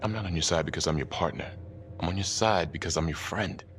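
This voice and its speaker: A man's voice: deep voice, quick pace, fairly bright tone, a little hiss or hum. A man playing a frank, terse police detective, an exasperated but loyal partner in a crime thriller game. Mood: earnest, defensive. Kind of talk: cinematic crime game acting.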